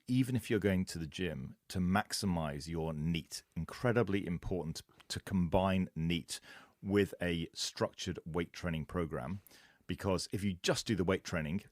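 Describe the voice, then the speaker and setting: A man's voice: low voice, no echo, studio quality. A man co-hosting a casual fitness podcast, explaining points at length and laughing at his own asides. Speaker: podcast co-host.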